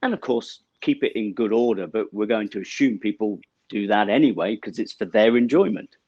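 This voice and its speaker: A middle-aged British man speaks in a low, measured voice, walking through his answers one step at a time with small fillers. The video call audio is dull and lo-fi, close and dry. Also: a little mellow, breathy edge, no echo. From United Kingdom. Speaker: bank representative guest. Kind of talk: explanatory interview answers. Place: office with banner backdrop, indoors.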